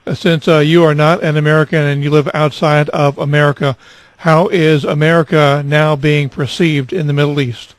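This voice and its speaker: A male radio interviewer asks his questions in a measured way, now and then with a brief filler. His voice sits slightly high and sounds dry, with no room echo. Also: level tone.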